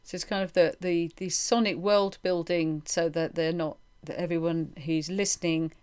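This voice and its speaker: A woman's voice: lowish voice, fairly even intonation, normal speed, a little mellow, clean tone. A woman hosting an interview, chatting informally and rephrasing her ideas as she goes. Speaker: interviewer, podcast host. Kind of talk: conversational interview, explaining with examples.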